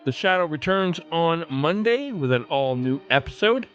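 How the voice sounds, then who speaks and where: A man's voice: a little high, animated, normal speed, a bit bright, muffled recording, faint music behind. A man hosting a podcast, talking directly to listeners as he goes through messages and show announcements. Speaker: podcast host.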